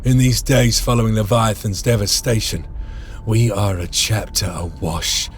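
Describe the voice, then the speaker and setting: A man playing a stern, dutiful veteran space marine sergeant in a video game, measured and solemn. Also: on the low side, steady tone, a little hiss or hum, close to an everyday voice. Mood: stern, brooding. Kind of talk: dramatic video game voice acting.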